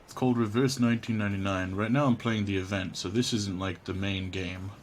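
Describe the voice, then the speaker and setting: A man's voice: on the low side, steady tone, fairly quick, a little hiss or hum. A man livestreaming a game, chatting casually and reading on-screen text aloud in a laid-back, mumbly way, humming to himself. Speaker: live game streamer. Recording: music behind the voice. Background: game music.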